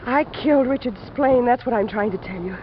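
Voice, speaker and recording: An actress playing a desperate, fatalistic young woman in a 1950s American radio drama, halting and intense. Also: a little high, close to an everyday voice. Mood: anguished. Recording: noisy, muffled vintage radio recording.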